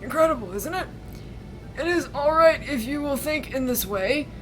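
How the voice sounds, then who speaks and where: A woman voicing a calm, mysterious father who captains the knights, in a measured, unhurried way. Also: sits high, faint music behind, voice pushed up, poor audio. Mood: calm, knowing.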